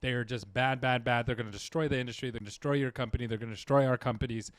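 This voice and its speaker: A man explains his marketing work in an earnest, rambling way, often restarting his sentences. His voice sits at a middle pitch, and the recording is dry and close with no room sound. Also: steady tone, very fast, dry recording. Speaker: dental marketing guest. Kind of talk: casual interview chat.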